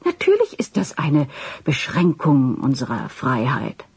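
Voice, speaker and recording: An actress playing a cheeky, persistent TV reporter in a radio drama, coaxing and teasing with gentle irony. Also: female voice, extremely low pitch, wildly swooping pitch, unhurried, breathy edge, heavily acted. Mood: persuasive. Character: sly, cheeky, persistent female TV news reporter.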